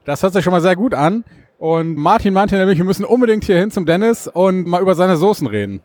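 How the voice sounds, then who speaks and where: A man's voice: high voice, expressive, quick pace, darkish tone, no echo. A man hosting a podcast, asking his guest questions in a friendly, inquisitive way. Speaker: podcast host. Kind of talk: casual interview.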